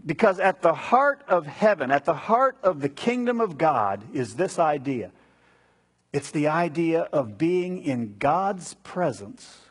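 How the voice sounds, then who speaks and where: A man preaching a sermon as a pastor, teaching with deliberate, emphatic phrasing. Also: a little high, slightly rough.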